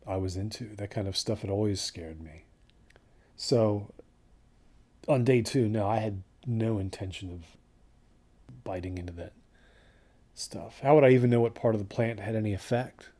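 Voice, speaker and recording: A man acting as a haunted, unravelling desert hiker who recounts his trek in a halting, introspective monologue with a husky voice. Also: on the low side, darkish tone, a little hiss or hum, natural voice. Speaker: first-person narrator of a horror audio drama. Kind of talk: confessional dramatic monologue.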